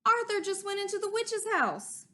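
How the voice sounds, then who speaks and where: A woman's voice: extremely high pitch, sing-song, moderate pace, sharp tone, pitched above the performer's own voice, full character voice. A woman reading a storybook aloud, voicing an alarmed young boy who imagines the worst.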